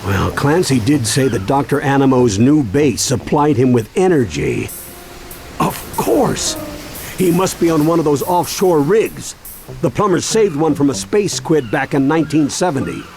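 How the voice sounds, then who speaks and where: A man playing a steady, protective grandfather in a cartoon video game, speaking in an avuncular, purposeful way. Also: a little high, measured pace. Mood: steady, encouraging.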